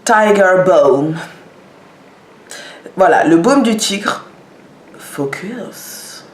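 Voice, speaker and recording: A woman's voice: lowish voice, lively intonation, very slow. A woman showing products in a casual vlog, talking with many hesitations and fillers. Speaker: vlogger. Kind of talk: casual product show-and-tell. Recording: echoey room.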